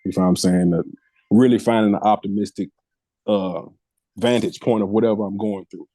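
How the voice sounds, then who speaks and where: A man's voice: on the low side, fairly even intonation, fairly quick, mellow, no echo. A man talking casually in slangy, profane language with a heartfelt manner. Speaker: podcast guest. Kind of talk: casual chat.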